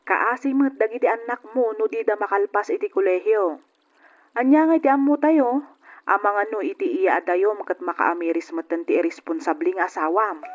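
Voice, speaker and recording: A woman's voice: mid-range voice, moderate pace, close to an everyday voice. A woman acting a generous, outspoken cousin in an old radio drama, frank and persuasive in her talk. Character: generous, outspoken female cousin back from abroad. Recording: dull lo-fi old radio recording.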